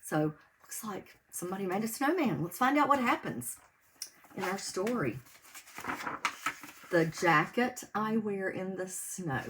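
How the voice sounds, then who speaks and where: Preschool teacher lady doing storytime, sing-song and slow, pitch goes up and down a lot, asks the kids questions. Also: lively intonation, fairly bright tone, slight background noise. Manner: sing-song, simple explanations with questions to children. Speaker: preschool teacher reading a picture book to pupils. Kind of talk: read-aloud storytime for young children. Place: indoors at home.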